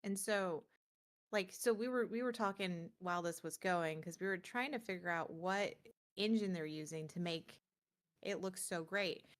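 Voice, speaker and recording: A woman's voice: lowish voice, normal speed. A woman co-hosting a gaming show in casual, informal conversation, telling things in an anecdotal way. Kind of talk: casual chat about video games.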